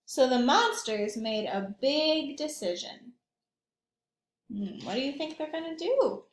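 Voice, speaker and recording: High-voiced, animated woman reading to children. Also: slow pace, reverberant, a little hiss or hum. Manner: expressive, simple explanatory asides. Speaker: early childhood read-aloud storyteller. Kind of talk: picture-book read-aloud with asides to young children.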